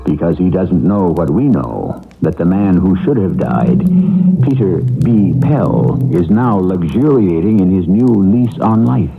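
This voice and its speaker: A man hosting and narrating a 1970s radio mystery in literary, wry, measured phrases. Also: low voice, expressive, vocal fry, narrow, tinny audio, soft background music. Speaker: host and narrator. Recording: thin band-limited 1970s radio recording.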